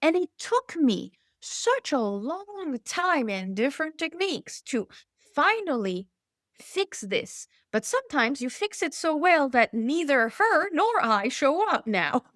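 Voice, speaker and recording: Animated woman guest, emphatic storyteller. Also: sits high, takes it slowly, dry recording, studio quality. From Hawaii. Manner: animated, emphatic storyteller who laughs between points. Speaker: guest expert. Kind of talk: conversational interview.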